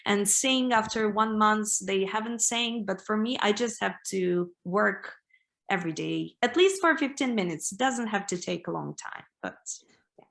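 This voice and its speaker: A woman's voice: medium pitch, animated, moderate pace, creaky, fairly bright tone. A young woman from Ukraine with a Ukrainian accent, a band singer answering interview questions in a chatty way full of "like" and "you know". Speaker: band vocalist, interview guest.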